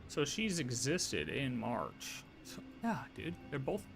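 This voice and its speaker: A man livestreaming a game, talking casually and thinking out loud in slangy, sometimes profane speech. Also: medium pitch, wildly swooping pitch, slow pace, vocal fry, music in the background. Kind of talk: casual game playthrough commentary.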